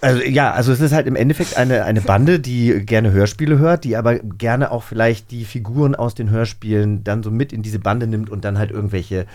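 A man's voice: fairly low pitch, fairly quick, hardly any pauses, a little mellow. A man co-hosting a podcast in casual, enthusiastic chat. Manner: chatty, enthusiastic. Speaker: podcast co-host.

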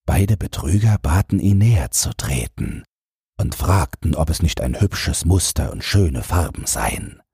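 Very deep, husky male storyteller. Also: sing-song, measured pace, dark tone, no room sound, clean studio sound. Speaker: audiobook narrator. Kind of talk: scripted fairy-tale narration, bedtime storytelling.

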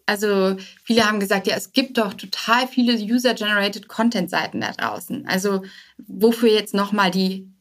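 A woman speaks as an interview guest in a conversational, explanatory way. Her pitch sits in the middle range for a woman. She often corrects and rephrases herself, keeping the wording informal. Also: moderate pace, a bit bright. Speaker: guest, startup co-founder. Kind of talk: conversational podcast interview answers.

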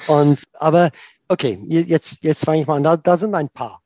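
A middle-aged man from South Africa whose first language is Afrikaans, speaking German with an Afrikaans accent, answering interview questions in an animated way, with many restarts and fillers and the odd English phrase. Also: fairly high pitch, normal speed, no room sound, lo-fi sound. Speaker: tour operator guest.

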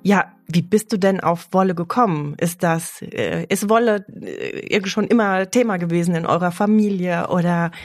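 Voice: medium pitch, moderate pace, hardly any pauses, soft background music. A podcast host asking curious follow-up questions in a casual interview.

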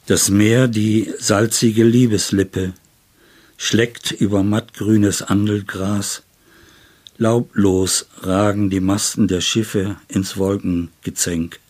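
A man's voice: lowish voice, fairly even intonation, takes it slowly, darkish tone. An older man, a poet being interviewed, speaking in a reflective way. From Germany. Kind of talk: reflective interview talk and poetry reading.

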